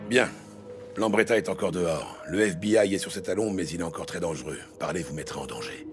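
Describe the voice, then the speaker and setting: An actor playing a gruff, commanding police lieutenant in a French audio drama, curt and coarse in manner. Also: male voice, low voice, quick pace, over music, natural voice. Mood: guarded, low.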